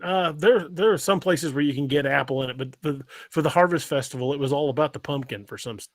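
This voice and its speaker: A man's voice: on the high side, fast talker, no room sound, studio quality. A man hosting a casual livestream, informally chatting and reading out comments from listeners with some salty language. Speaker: podcast host reading live chat comments.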